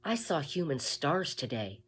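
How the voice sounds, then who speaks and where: A woman's voice: low voice, sing-song, a little slow, husky, heavily acted. A woman voicing a naive, hopeful seven-year-old peasant girl with eager, simple speech in a storybook reading. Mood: wonderstruck.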